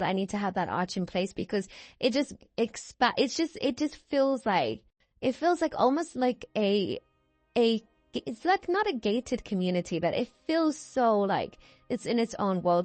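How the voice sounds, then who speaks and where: A woman's voice: medium pitch, no room sound. A young woman giving a casual, rambling voiceover as a game builder, drifting off on tangents and using lots of fillers. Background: faint background music.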